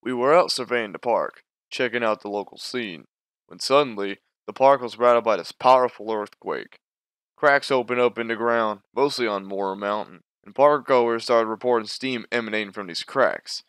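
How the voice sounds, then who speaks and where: A man playing a park ranger who gives a formal, scripted official statement in a measured way. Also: medium pitch, a bit bright, clean tone, dry recording, lightly acted.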